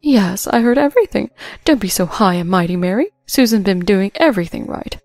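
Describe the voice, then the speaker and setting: A woman voicing an elderly mother-in-law who speaks calmly and firmly. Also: medium pitch, fairly quick, no room sound, soft background music, close to an everyday voice.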